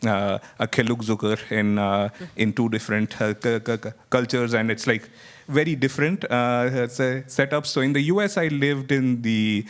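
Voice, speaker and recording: A man who grew up in India raises a point from the audience at a panel evening. His delivery is halting, with frequent fillers and repeated words. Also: medium pitch. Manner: halting, many restarts and fillers. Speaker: audience member. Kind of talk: contribution at a panel discussion.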